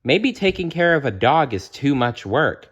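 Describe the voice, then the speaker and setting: A man's voice: fairly high pitch, big pitch swings, normal speed, fairly bright tone, slightly rough, lo-fi sound, voice pushed up, heavily acted. A man voicing a concerned, firm mother in a children's storybook.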